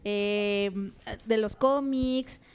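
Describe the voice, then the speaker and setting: A woman with a voice pitched a little high, chatting easily as a podcast co-host. She giggles often and leans on fillers while she talks. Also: steady tone, drawn-out words, smooth. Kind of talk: casual chat.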